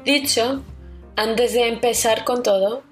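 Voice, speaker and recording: A young woman from Connecticut with an American accent, hosting a radio series in a careful, measured, scripted way with small self-corrections. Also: fairly high pitch, fairly even intonation, faint music behind. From Connecticut, United States.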